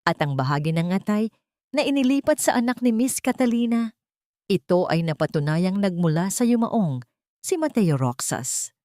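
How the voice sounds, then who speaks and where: A composed male lawyer in a drama story, voiced by a woman narrator, makes his case with a sharp edge. The delivery is formal and firm, with expressive rises and falls in a dry recording. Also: medium pitch, no room sound, close to an everyday voice. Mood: calm, firm. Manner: formal, firm, deliberate courtroom address. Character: calm, sharp-edged male lawyer arguing in court.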